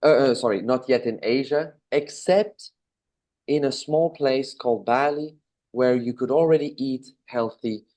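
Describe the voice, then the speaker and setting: A man in his thirties from Belgium with a Belgian accent, answering interview questions in a relaxed, casual way, with fillers and self-corrections. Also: mid-range voice, a little slow. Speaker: interview guest, business owner.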